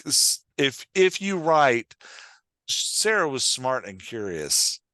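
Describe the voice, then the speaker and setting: A man's voice: mid-range voice, very animated, slow pace, pushed and bright, dry recording. A man hosting and coaching in a conversational, explanatory way, often checking that the listener follows. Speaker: podcast host and writing coach.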